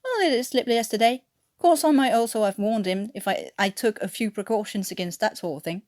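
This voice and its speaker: A woman's voice: on the high side, brisk, a little mellow, no room sound, clean studio sound, natural voice. A woman voicing a wily young-spirited English prisoner of war with an English accent, smug, teasing and nonchalant, in a story reading. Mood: breezy mock innocence.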